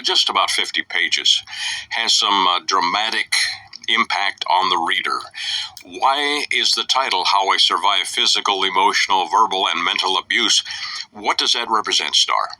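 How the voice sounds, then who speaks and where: A man's voice: deep voice, normal speed, bright, forceful tone, dry recording, poor audio. A man hosting an author interview, asking questions with many uhs and a little light joking. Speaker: talk show host. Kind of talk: interview host.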